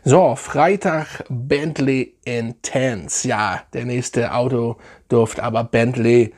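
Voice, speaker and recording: A man in his thirties with a sore, hoarse throat, chatting enthusiastically as he reviews fragrances on camera. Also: mid-range voice, a little mellow. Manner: enthusiastic, chatty, drawn-out emphatic phrases. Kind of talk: casual product review vlog. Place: living room, seated indoors.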